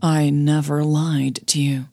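A woman playing a cool, guarded older female coach, measured and reluctant, in an audio drama. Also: low voice, little pitch movement, darkish tone, dry recording, soft background music, exaggerated voice. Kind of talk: audio fiction dialogue.

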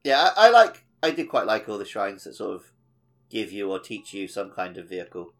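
A man's voice: on the low side, big pitch swings, fairly quick, soft background music. A man co-hosting a video game podcast in casual conversation. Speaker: podcast co-host.

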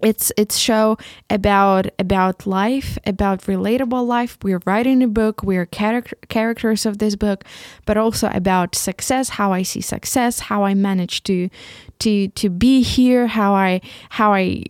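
A young Ukrainian woman with a Ukrainian accent, speaking English as a second language. She hosts a solo podcast and talks in a halting way, restarting and repeating words often. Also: mid-range voice, no room sound, studio quality. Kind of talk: casual solo podcast monologue.

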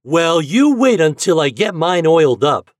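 A man voicing excitable, teasing young boys in a storybook, exclaiming boisterously. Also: fairly high pitch, moderate pace, dry recording, studio quality, pitched above the performer's own voice. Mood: boastful, gleeful.

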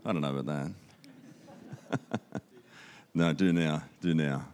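A man's voice: a bass voice, takes it slowly, darkish tone, a little hiss or hum. A man with an Australian accent giving a church sermon as a guest speaker, upbeat and conversational, repeating key phrases for emphasis. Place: church auditorium. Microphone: handheld microphone.

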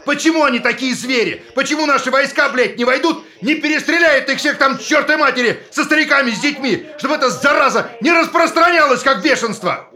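An actor playing an agitated TV newsman and husband in a naturalistic stage drama, sarcastic, insistent and halting in his speech. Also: extremely high pitch, sharp tone, full character voice. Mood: furious, shouting. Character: agitated TV newsman, father and husband, scornful and defensive.

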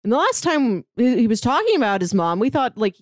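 A woman's voice: on the high side, big pitch swings, fairly quick, no echo, studio quality. A woman co-hosting a TV podcast, talking through the season in a chatty, analytical way and laughing now and then. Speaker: podcast co-host. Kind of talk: casual TV recap chat.

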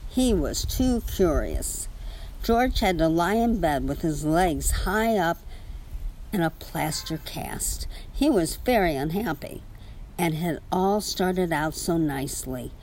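A woman's voice: on the low side, animated, slow, a little mellow, slight background noise, low-quality recording. A woman reading a children's story aloud to a child, with casual asides.

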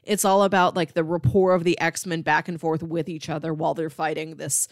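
A woman's voice: sits low, flat delivery, fairly quick, no room sound, studio quality. A woman co-hosting a podcast, chatting casually about comics. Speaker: podcast co-host.

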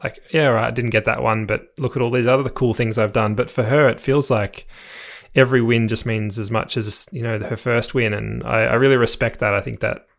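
A man co-hosting a cycling podcast, talking in a relaxed, thoughtful way. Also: mid-range voice, brisk, vocal fry. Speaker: podcast co-host. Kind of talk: casual cycling chat.

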